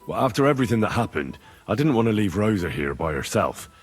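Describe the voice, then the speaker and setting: An actor playing an earnest, polite young knightly adventurer with a husky voice in a video game. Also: male voice, on the low side, sing-song, quick pace, faint music behind, exaggerated voice. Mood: conversational. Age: young adult. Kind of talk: naturalistic video game cutscene acting.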